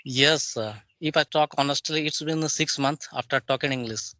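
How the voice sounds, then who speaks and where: A man with an East Indian accent practising English conversation in a formal, deferential and somewhat halting manner. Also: on the high side, pushed and bright, no room sound. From Jharkhand, India.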